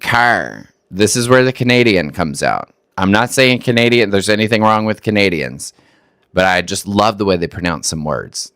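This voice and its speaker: A man's voice: lowish voice, animated, normal speed, fairly bright tone, no room sound, studio quality. A man from Texas hosting a reaction video, making wry, skeptical remarks that stumble over restarts. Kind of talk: casual commentary on a clip.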